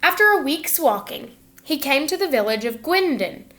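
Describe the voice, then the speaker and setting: High-pitched woman reading a children's book. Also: a little hiss or hum. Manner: expressive, instructive, pausing to question listeners. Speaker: teacher reading to students. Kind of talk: children's picture book read-aloud.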